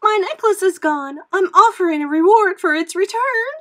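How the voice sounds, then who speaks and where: Theatrical storybook lady played by a narrator woman, very high, emphatic, dramatic. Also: very animated, unhurried, a bit bright, slightly rough, full character voice. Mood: frantic.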